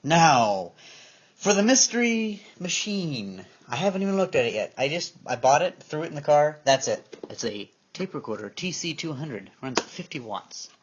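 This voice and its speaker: A man's voice: fairly high pitch, big pitch swings, moderate pace. Male vlogger, offhand and unscripted, talking half to himself; dull camera-mic sound with faint noise. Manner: musing aloud to himself while handling gear. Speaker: vlogger showing a collection of tape recorders. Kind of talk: casual unscripted show-and-tell. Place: indoor room at home. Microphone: handheld camera microphone. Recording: dull, noisy handheld camera sound with clicks.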